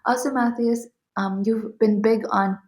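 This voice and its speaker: A woman's voice: fairly high pitch, steady tone, unhurried, a little mellow. A young woman from Pakistan interviewing a guest, polite and affirming, thanking him often. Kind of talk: interview questions.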